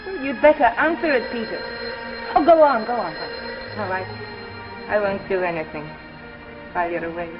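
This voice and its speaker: An actress playing a bitter, unhinged wife in a 1950s radio drama, taunting and melodramatic. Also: female voice, mid-range voice, normal speed, pauses often, close to an everyday voice. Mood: mocking, cold. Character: bitter, vengeful wife, unhinged by a drug, threatening. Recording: dull old radio recording, faint music.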